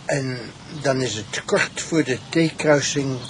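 An actor playing a nosy, terse, matter-of-fact village petrol attendant in a radio drama. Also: male voice, mid-range voice, slow, natural voice. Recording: noisy outdoor vehicle ambience.

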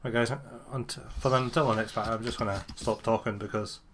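A man's voice: on the low side, steady tone, fairly quick, a bit bright, a little hiss or hum. A man giving casual, sweary gameplay commentary as he makes a video, grumbling and sarcastic. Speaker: gamer making a video.

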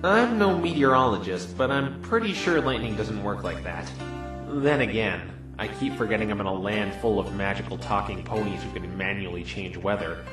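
A man voicing an earnest, bemused defense lawyer in a fan dub, asking measured questions with dry puzzlement. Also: lowish voice, animated, close to an everyday voice, poor audio. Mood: bemused, questioning. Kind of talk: fan dub voice acting of a visual novel. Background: music.

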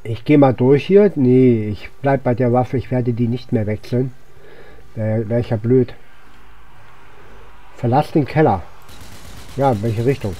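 A man's voice: mid-range voice, steady tone, unhurried, pauses often, mellow. A man gives casual, rambling video game commentary, thinking aloud as he plays. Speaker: gaming channel host. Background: game music and sound effects.

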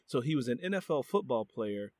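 A man's voice: medium pitch, big pitch swings, fairly quick, a little mellow, dry recording, clean studio sound. A man hosting an interview podcast, speaking earnestly and repeating phrases for emphasis. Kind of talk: casual interview conversation.

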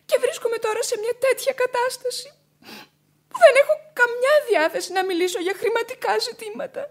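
An actress playing a proud, mournful widow with a formal, dramatic radio theatre delivery. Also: female voice, very high voice. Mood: tearful. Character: proud, mournful young widow, mistress of the house. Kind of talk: classic Greek radio theatre acting.

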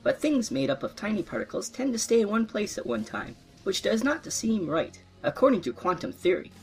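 An eager, argumentative old male philosopher on his deathbed. The voice jumps high and swoops all over as he presses his point, with faint music underneath. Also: very animated, brisk, rough recording. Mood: excited.

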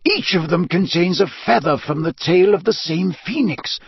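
A man voicing a weary, wise old wizard headmaster with a slow, solemn, measured delivery. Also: sits high, animated, vocal fry, slightly rough, no echo, muffled recording, voice pushed up, exaggerated voice. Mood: explaining.

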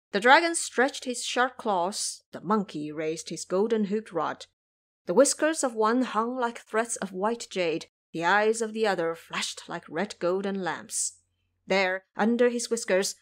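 A woman giving scripted, dramatic narration of a story as the narrator of an audio drama. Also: mid-range voice.

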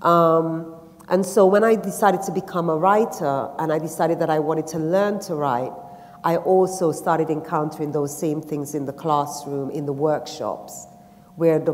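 A woman from Guyana talks on a festival panel before an audience, thoughtful and halting, with frequent ums and restarts. Her voice sits low. The theatre's long reverb trails behind each phrase. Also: darkish tone. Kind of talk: personal reflection and reading aloud on a stage panel. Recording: long reverb of a large hall.

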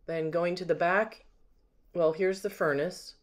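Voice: medium pitch, steady tone, measured pace. A home sales agent giving a casual, unscripted walkthrough narration, admiring and describing things item by item, recorded on a handheld camera inside an empty house. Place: indoors, rooms of an empty house.

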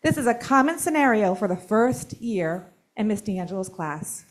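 A woman school administrator at a board meeting, speaking in a loud voice and reading prepared remarks in a friendly way. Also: medium pitch, sing-song, normal speed.

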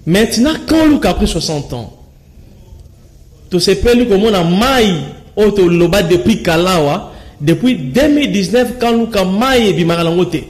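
This young man speaks with a Congolese accent, mixing French and Lingala as a guest on a TV studio set. His voice sits high and he talks in animated bursts, gesturing as he goes and often restarting his sentences. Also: normal speed. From Kinshasa, Congo. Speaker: studio guest, local resident. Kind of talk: studio interview, animated talk. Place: TV studio with screen backdrop.

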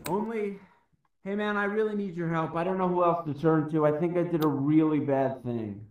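A man's voice: on the high side, normal speed, close to an everyday voice. An actor playing an anxious, pleading, well-meaning everyman who rambles earnestly and hesitantly in a game radio drama. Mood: urgent, pleading. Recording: echoey, distant, muffled.

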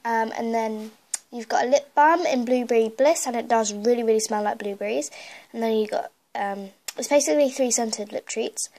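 A young woman with a cold and a croaky voice, chatting casually with lots of fillers as she shows things in her bedroom. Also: on the high side, normal speed, darkish tone. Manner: chatty, rambling with many fillers. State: hoarse from a cold. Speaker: beauty vlogger. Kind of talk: casual makeup collection tour. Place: bedroom at home, indoors. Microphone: phone camera held in hand.

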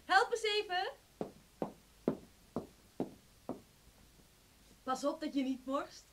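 An actress playing a caring sweetheart in a radio drama. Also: female voice, very high-pitched, expressive, normal speed, noisy background. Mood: calling out. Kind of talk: Dutch radio drama acting.

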